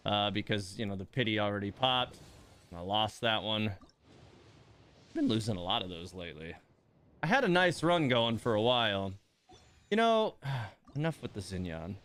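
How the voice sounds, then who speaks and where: A man livestreaming a game in a casual, grumbling way. His pitch jumps around a lot, and he takes his time between remarks. He sounds exasperated, with mild swearing and sighs. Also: a bit bright, clear, resonant tone. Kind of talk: casual livestream chat and reactions while playing.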